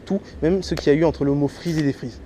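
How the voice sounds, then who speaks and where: Brief: a man from Martinique, a bit stuffed up from a cold, hypnotizing a volunteer outdoors. His voice sits a touch high, with a noisy crowd all around. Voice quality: repetitive, slow-paced, coaxing commands that drop soft and low. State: has a slight head cold. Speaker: street hypnotist. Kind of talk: stage hypnosis patter with a volunteer. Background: chattering crowd.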